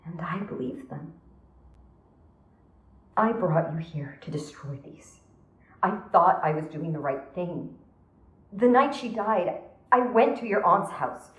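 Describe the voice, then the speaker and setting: An actress playing a guilt-ridden, grieving woman in a horror game, speaking hesitantly and earnestly in halting phrases. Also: deep voice, roomy sound. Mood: guilty, sorrowful. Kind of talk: dramatic horror game voice acting.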